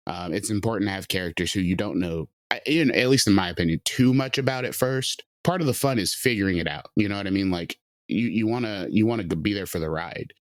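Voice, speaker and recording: Very deep-voiced laid-back man. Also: no echo, clean studio sound. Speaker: podcast writer. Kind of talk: casual podcast discussion.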